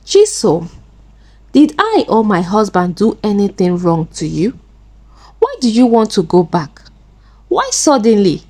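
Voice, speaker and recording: A woman's voice: medium pitch, wildly swooping pitch, measured pace, long pauses, a little mellow, breathy edge, exaggerated voice. A woman voicing a kind, trusting married auntie in a folktale, earnest and questioning. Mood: puzzled.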